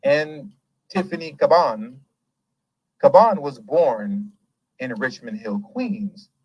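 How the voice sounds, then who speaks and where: A man of middle pitch hosts a casual commentary show, animated and emphatic. He laughs easily and drifts off on tangents before circling back. The sound is dry and close. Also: slow, husky, dry recording, muffled recording. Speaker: host.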